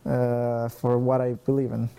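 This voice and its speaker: A man in his twenties from El Salvador, a native Spanish speaker, talking in a reflective, soft-spoken way in an interview. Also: medium pitch, monotone, unhurried, vocal fry, over loud music. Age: 20s.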